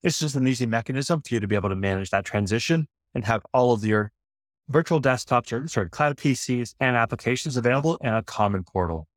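Male tech presenter, mid pitch, dry close sound, relaxed explainer tone with self-corrections. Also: fast, no echo. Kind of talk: screen-recorded software demo walkthrough.

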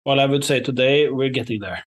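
Measured man giving careful interview answers. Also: medium pitch. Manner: measured, diplomatic, careful wording. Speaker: investor, interview guest.

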